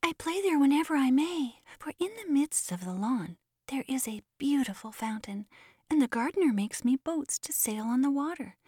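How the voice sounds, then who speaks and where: A woman voicing an excited six-year-old boy in a children's storybook tale, speaking quite a bit higher than her narration. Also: moderate pace, dry recording, clean studio sound. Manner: excited and bright.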